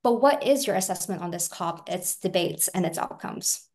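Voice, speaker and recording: A woman's voice: fairly low pitch, animated, moderate pace, a little mellow. A young woman from Hong Kong in her twenties, moderating an online panel and reading a prepared introduction over a video call. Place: indoors at home, video call. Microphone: video call webcam.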